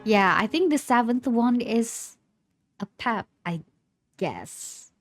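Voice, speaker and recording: Female game streamer: unhurried, hesitant, casual live commentary, thinking aloud, dry close sound. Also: a little high, expressive, no echo. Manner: hesitant, repeating words as she thinks aloud. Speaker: streamer reacting to a game trailer. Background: faint music from the trailer.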